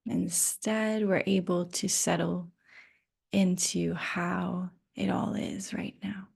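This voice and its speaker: A woman leads a guided rest meditation at a very slow pace, leaving long, unhurried pauses. Her voice has a pronounced vocal fry and slips into breathy, almost whispered phrase endings. The delivery stays gentle throughout. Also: fairly low pitch, level tone. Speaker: yoga nidra guide.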